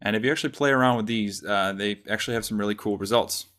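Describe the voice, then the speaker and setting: A man presenting a casual software tutorial, explaining step by step in an informal way. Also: lowish voice, fast talker.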